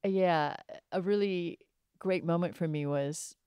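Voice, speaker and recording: A woman with a low, resonant voice speaks in a conversational, reflective manner. The recording is dry and close, and she sounds like an actor sharing stories in a relaxed interview chat. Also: slow pace, smooth, no echo, highs cut off.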